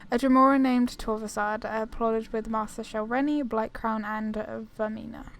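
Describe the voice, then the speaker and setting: A woman's voice: on the high side, fairly even intonation, moderate pace, vocal fry. A woman doing casual video game playthrough commentary, chatty and self-deprecating as she thinks aloud. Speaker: gaming YouTuber.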